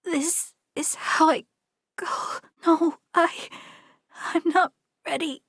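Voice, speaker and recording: A woman voicing an earnest, determined young female soldier in a video game, with spirited, crisp military delivery. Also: extremely high pitch, steady tone, slow pace, a bit bright, no echo, clean studio sound, heavily acted. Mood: faint, despairing. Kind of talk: video game battle voice lines.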